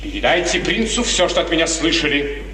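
An actor playing a proud, hot-tempered young count, haughty, curt and sarcastic, in 1950s radio theatre style. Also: male voice, on the high side, big pitch swings, a little fast, far from the mic. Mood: scornful anger. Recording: echoey, faintly noisy 1950s radio drama recording.

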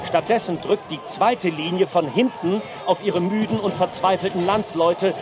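A man acting as a battle reporter in a radio play, his voice pitched high. A loud crowd and heavy outdoor noise surge behind his commentary. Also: natural voice. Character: male reporter describing a medieval battle.

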